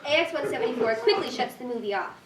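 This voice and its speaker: A woman's voice: fairly high pitch, expressive, quick pace. A woman narrating a script's stage directions at a staged reading. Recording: echoey room, distant mic, faint noise.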